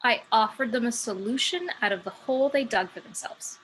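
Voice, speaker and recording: A woman's voice: fairly high pitch, a little fast, a bit bright, slightly rough, rough recording. A woman playing a cocky, sardonic muse who works as a stripper, in a script table read. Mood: boastful, wry. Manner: sardonic, brash. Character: sassy, cocky female muse working as a stripper.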